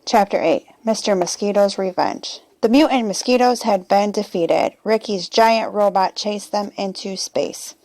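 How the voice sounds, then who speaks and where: A woman's voice: medium pitch, unhurried, few pauses, a little mellow, no room sound, poor audio. Woman reading a children's storybook aloud.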